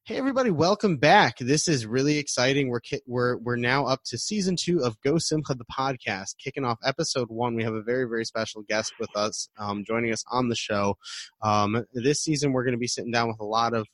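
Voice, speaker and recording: A man's voice: mid-range voice, brisk, fairly bright tone. A man hosting a podcast in casual, effusive interview chat, often repeating himself. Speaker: podcast host.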